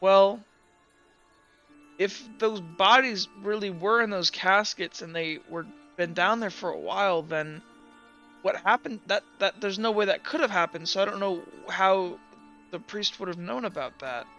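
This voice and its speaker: A man voicing a sad, trusting teenage boy in a roleplay game, halting and sniffling as he talks. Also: high voice, sharp tone, muffled recording, soft background music, voice pushed up, full character voice. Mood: doubtful.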